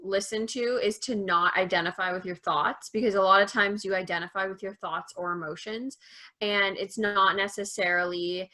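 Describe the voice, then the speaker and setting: A young woman speaks as an interview guest, thinking out loud in a casual, reflective way and using "like" often. Her voice is bright and clear, with an average pitch. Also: fairly even intonation, moderate pace. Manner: thoughtful, says "like" often.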